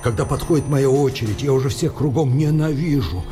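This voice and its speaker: A man's voice: medium pitch, a little fast, music in the background, lightly acted. An actor playing an educated, ashamed man who confesses earnestly and insistently in a radio drama. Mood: anguished. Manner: earnest, insistent, repeating himself. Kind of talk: Russian radio drama acting.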